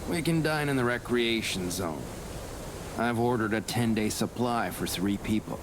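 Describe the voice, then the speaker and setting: A man playing a practical gentleman official in a period visual novel, with a plain, businesslike delivery. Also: medium pitch, normal speed, natural voice. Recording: clear background noise and ambience.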